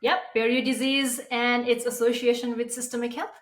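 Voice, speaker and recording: A woman's voice: a little high, little pitch movement, fairly quick. A woman from Nepal with a Nepali accent, explaining as an expert guest in an interview. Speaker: periodontist guest. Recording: roomy, echoey sound.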